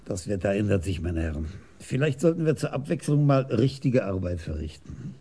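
Male commissioner: raspy, warm, wry, weary authority, radio drama. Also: on the low side, sing-song, normal speed, heavily acted. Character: male police chief, rheumatic, wry, weary superior. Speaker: police commissioner. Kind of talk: 1980s radio drama acting.

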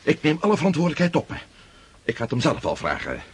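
An actor playing a pompous, world-weary Russian prince of nearly sixty in a radio drama. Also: male voice, on the high side, huge pitch swings, fast, a little hiss or hum. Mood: impatient. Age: 50s.

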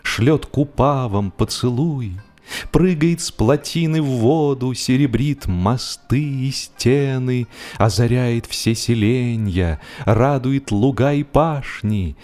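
A man narrating a humorous literary classic in an expressive, theatrical and wry way, with deliberate phrasing. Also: medium pitch. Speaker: audiobook narrator and actor. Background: music.

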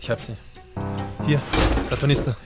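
An actor playing an anxious, exhausted young film assistant in a German radio play, plaintive and unsure of himself. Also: male voice, medium pitch, steady tone, moderate pace, loud music behind the voice, natural voice. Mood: hurried.

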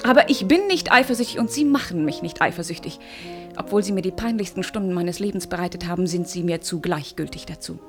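A woman's voice: lowish voice, fast, music in the background, natural voice. An actress in a German radio play playing a proud, distrustful woman who speaks in a cool, reproachful, pointed manner. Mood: scornful, denying. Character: proud, scornful woman, hurt and distrustful suitor's beloved.

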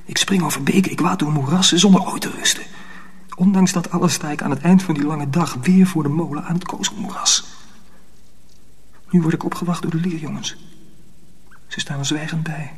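Breathy, husky young apprentice lad. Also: male voice, on the high side, fairly even intonation, fairly quick, long pauses. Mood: desperate, weary. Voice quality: husky, breathy, half-whispered. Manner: intense, dramatic, short urgent phrases. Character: Krabat, weary, bewildered young apprentice lad. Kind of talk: first-person radio drama narration.

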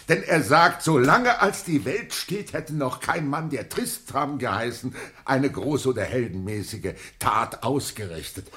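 An actor playing a good-natured, excitable gentleman and old soldier in a German radio play, with hearty, emphatic, old-fashioned diction. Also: mid-range voice.

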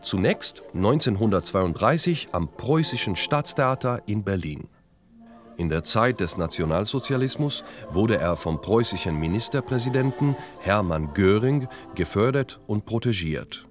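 A man reading scripted first-person documentary narration in a measured, reflective manner. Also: fairly low pitch, expressive, soft background music. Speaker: narrator and radio play author.

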